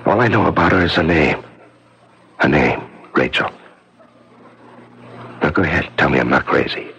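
An actor playing a wealthy, lovesick, haunted businessman in a 1970s radio drama, fervent and halting. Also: extremely low pitch, lively intonation, sharp tone, heavily acted. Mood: smitten. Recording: dull, noisy old radio broadcast.

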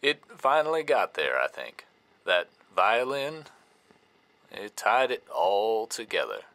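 A folksy country singer, bashful and halting on an old static-laced radio. His lowish voice moves around a lot and cuts through brightly at an unhurried pace. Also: very animated, no room sound, heavily acted. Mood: bashful, pleased. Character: easygoing vampire country singer interviewed on radio. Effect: old radio broadcast with static. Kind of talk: 1950s radio interview acting.

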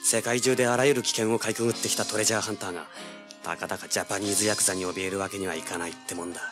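A man's voice: on the low side, steady tone, racing, over music, close to an everyday voice. An actor playing a cocky, cool-headed young treasure hunter who narrates his own hard-boiled adventure in an audio drama. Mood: wry, confident. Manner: hard-boiled, self-assured.

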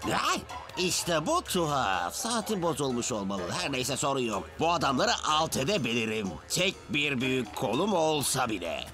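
A man's voice: medium pitch, sing-song, normal speed, close to an everyday voice. A man voicing a cocky young alien hero in a cartoon, brash and wisecracking. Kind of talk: animated series dubbing. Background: music.

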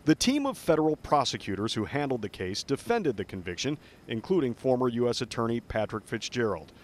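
A man's voice: medium pitch, very animated, fairly quick, a little hiss or hum. Male TV reporter, American, middle-aged, mid-range voice, scripted news delivery.

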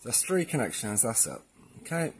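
A man's voice: medium pitch, big pitch swings, normal speed, breathy edge. Man giving a casual tutorial. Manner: casual, addresses viewers as guys. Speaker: presenter of installation tutorials. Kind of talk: informal instructional demonstration.

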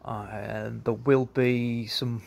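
A man's voice: mid-range voice, fairly even intonation, very slow, creaky, a little mellow. A man presenting a product in an informal walkaround, matter-of-fact and a little hesitant, recorded outdoors on a handheld phone. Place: concrete yard outdoors by outbuildings. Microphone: handheld phone behind the camera.

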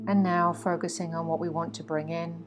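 A woman guiding a meditation in a soothing, instructive way, with frequent pauses between phrases. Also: on the low side, little pitch movement. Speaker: guided meditation teacher. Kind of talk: guided meditation narration. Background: meditation music with singing bowls.

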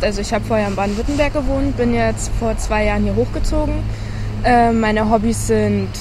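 Teenage girl, bright high voice, noisy outside. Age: teens. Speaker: student. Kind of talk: self-introduction to camera. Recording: outdoor noise.

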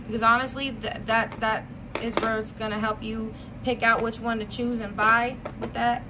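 Blunt, higher-pitched American woman vlogger, noisy recording. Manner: blunt, slangy, emphatic with repetition. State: voice worn out, losing it. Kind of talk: casual chat to viewers, product review.

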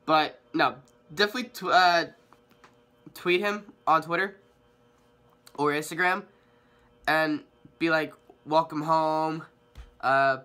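A man's voice: fairly high pitch, bright, forceful tone. A young man giving a rambling, hesitant vlog update while sick with a cold, his voice slightly nasal. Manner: rambling, hesitant, many ums and restarts. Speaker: vlogger.

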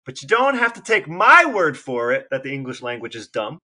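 Bright-voiced man explaining things dryly. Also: medium pitch, big pitch swings, fairly quick. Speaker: podcast co-host. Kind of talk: casual explanatory podcast talk.

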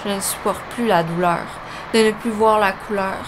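A woman's voice: mid-range voice, fairly even intonation, moderate pace, background noise, natural voice. A woman with a Quebec accent playing a lovesick teenage girl, reciting her poetry as a solemn, melodramatic voice-over. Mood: despairing. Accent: Quebec French.

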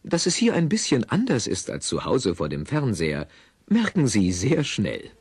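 A man's voice: on the low side, huge pitch swings, normal speed, a little mellow. A man narrating a scripted audio play for children in a wry, teasing manner.

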